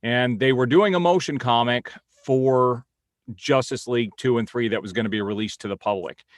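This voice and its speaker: A man's voice: mid-range voice, moderate pace, dry recording. Man giving blunt, dry-miked commentary. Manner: blunt, opinionated. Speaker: host of a comic-book film news vlog. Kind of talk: informal news update and opinion commentary.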